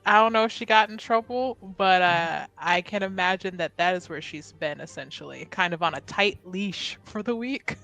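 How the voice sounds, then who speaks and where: A woman's voice: lowish voice, normal speed, vocal fry, hardly any pauses, fairly bright tone, soft background music, rough recording. A woman playing a tabletop roleplaying game, casually improvising narration about her character.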